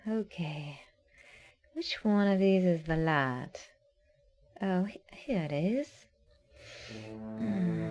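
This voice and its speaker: A woman acting in an audio drama as a hospital patient who brushes off concern in an unhurried manner. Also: medium pitch, dull audio. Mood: sleepy, muttering. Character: reassuring, dismissive woman in a hospital bed.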